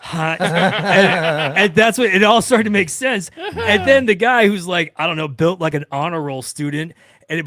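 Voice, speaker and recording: A man chatting informally in a studio as a co-host, telling stories in an animated way and quoting what people said. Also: a little high, dry recording. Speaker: radio show co-host and producer. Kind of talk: casual studio chat and anecdote telling. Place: dry-sounding studio.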